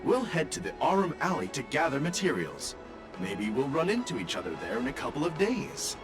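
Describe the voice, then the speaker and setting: A man's voice: on the high side, a little fast. A man voicing a friendly, enthusiastic tourist trader in a video game. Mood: eager. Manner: animated, enthusiastic. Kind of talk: video game character dialogue. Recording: music behind the voice.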